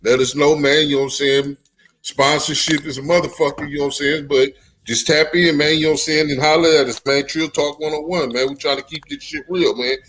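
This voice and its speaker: A man's voice: a little high, fairly even intonation, brisk, fairly bright tone, a little hiss or hum, low-quality recording. A man hosting a casual podcast, signing off in laid-back slang and repeating "you know what I'm saying". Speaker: podcast host.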